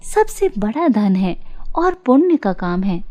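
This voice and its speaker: A woman's voice: mid-range voice, big pitch swings, slow pace, dark tone, pitched below the performer's own voice. A woman voicing a kind, selfless young elder sister in a moral story, earnest and reassuring.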